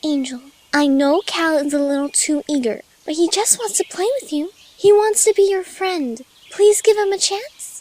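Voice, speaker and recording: A woman voicing a gentle, patient, motherly young female cartoon pony in an audio drama, soft-hearted and coaxing. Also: very high-pitched, moderate pace, few pauses. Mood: pleading. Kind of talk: scripted audio drama character voice.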